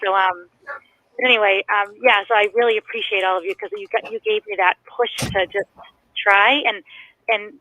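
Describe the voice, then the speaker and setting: A woman with a Boston accent calls in over a muffled phone line, her voice bright and a little tinny. She talks in a hesitant, halting way, full of ums and little giggles. The sound is dry and close. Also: mid-range voice, animated, no room sound. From Massachusetts. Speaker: caller. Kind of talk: casual call-in chat.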